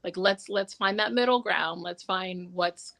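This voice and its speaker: A woman telling a reflective personal anecdote on a panel, choosing her words in a halting, thoughtful way. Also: on the low side, pushed and bright. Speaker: behavior analysis professional on a panel.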